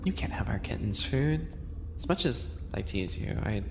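A man voicing a composed, sadistic animal-boy cafe maid and cook in a roleplay ASMR, measured and softly menacing. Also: fairly low pitch, lively intonation, vocal fry. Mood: sly menace. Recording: reverberant, noticeable background noise.

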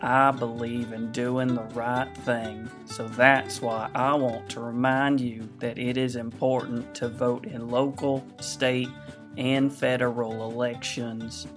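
A stiff small-town mayoral candidate, played by a man in a radio-play ad. He speaks flat and slow. Plucked guitar music plays clearly behind him. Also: medium pitch, lightly acted. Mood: earnest. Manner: stiff, monotone political-ad delivery.

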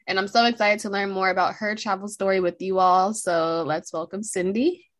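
A woman hosts a casual podcast interview in an ordinary, mid-pitched voice. She speaks in an upbeat way and puts friendly questions to her guest. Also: fairly even intonation, normal speed, dry recording, studio quality. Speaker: podcast host.

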